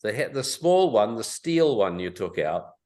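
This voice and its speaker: A man's voice: on the low side, very animated, moderate pace, a little mellow. A man giving casual technical advice on a group call in a matter-of-fact, instructive way. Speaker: technical adviser.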